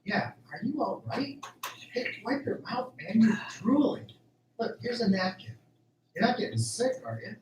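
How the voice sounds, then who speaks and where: A man's voice: high voice, sing-song, a little slow, darkish tone. A man playing an earnest, uneasy farm worker in a radio drama, plain-spoken and a little hesitant. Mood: concerned. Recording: roomy, distant, faint noise.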